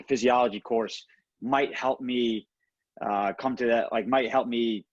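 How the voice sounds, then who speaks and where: A man chatting casually on a coaches' call, halting and peppering his talk with "like" and "you know". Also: no echo. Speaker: participant in a coaches' conference call. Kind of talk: casual chat. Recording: dull, dry low-fidelity call audio.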